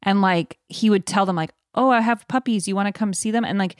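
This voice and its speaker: A woman's voice: mid-range voice, brisk, no room sound, clean studio sound. A woman co-hosting a podcast, talking in a casual, conversational way. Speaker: podcast co-host. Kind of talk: casual chat.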